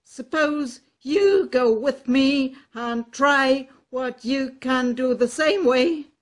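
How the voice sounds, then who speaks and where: An older woman with a German accent voicing a kindly, bossy male donkey who talks loudly and heartily. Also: high-pitched, slow pace, voice pushed up. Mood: hearty. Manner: loud, hearty invitations.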